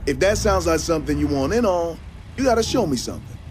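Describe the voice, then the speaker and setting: A man's voice: a little high, expressive, fast, a little mellow, slight background noise, natural voice. Man playing cocky, cool street racer. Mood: cocky, challenging. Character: cool, cocky street racing crew mentor. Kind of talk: video game cutscene acting.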